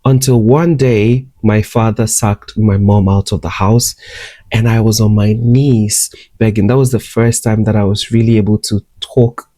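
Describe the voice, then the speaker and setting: Candid man, dark mellow voice. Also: fairly low pitch, steady tone, measured pace, no room sound. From Ghana. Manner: candid, reflective, emotional. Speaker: vlogger. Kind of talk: personal storytelling vlog. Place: at home, in bed. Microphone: camera close up, talking to camera.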